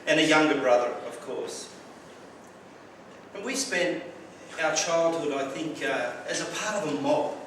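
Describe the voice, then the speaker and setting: A man's voice: fairly high pitch, lively intonation, measured pace, a bit bright. A man from Australia with an Australian accent gives a prepared personal speech, telling stories with wry humour and some stumbling repeats. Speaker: club speaker. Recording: very echoey room, distant mic, clear background noise.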